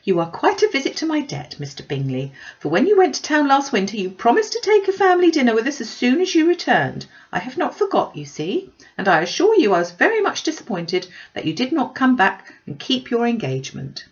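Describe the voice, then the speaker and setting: A woman's voice: fairly high pitch, wildly swooping pitch, a little fast, hardly any pauses, highs cut off, voice pushed up. A woman voicing a gushing, fussy middle-aged mother who chatters pushily and complains with emphasis in an audiobook. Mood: coyly reproachful. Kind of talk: audiobook character voice.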